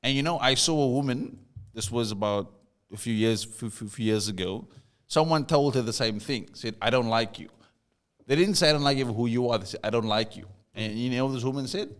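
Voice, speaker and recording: A man's voice: mid-range voice, brisk, clear, resonant tone. South African man telling a story. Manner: storytelling, repeats words when starting a thought. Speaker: podcast co-host. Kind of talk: casual conversation.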